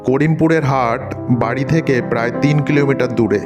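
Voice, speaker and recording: A man's voice: mid-range voice, normal speed. A man narrating a scripted cartoon story as its storyteller. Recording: loud music bed under narration. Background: loud music.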